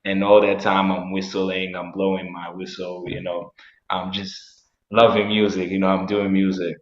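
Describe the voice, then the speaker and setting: A young man with a very low voice, telling his personal story as an interview guest. He speaks reflectively and haltingly, pausing on frequent ums. The sound is echoey and dull, like a lo-fi room recording. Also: little pitch movement. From Rwanda. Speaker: musician and guest.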